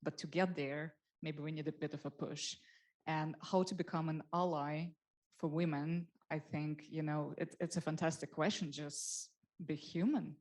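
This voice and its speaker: A woman with a very low voice speaks on a panel. She talks in a keen, agreeing way. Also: fairly even intonation, normal speed, darkish tone. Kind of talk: panel discussion.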